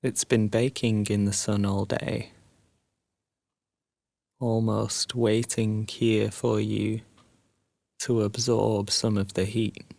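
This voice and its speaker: A man guiding a sleep meditation as a narrated story, soothing and hushed, leaving long pauses between phrases. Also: fairly low pitch, level tone, vocal fry, no room sound.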